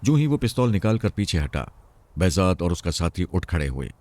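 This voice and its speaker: A male storyteller reads a suspense tale. His voice is very low and mellow, and the recording is dry and close, with scripted narration throughout. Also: very animated, fairly quick, dry recording.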